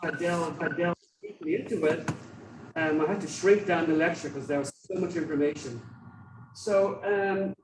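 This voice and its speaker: A man's voice: a little high, normal speed. Irish male lecturer, conversational delivery with emphatic repetition, recorded at a distance in an echoing room. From Ireland, grew up in Dublin. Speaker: guest lecturer. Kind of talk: informal lecture. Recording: roomy, distant mic with faint noise.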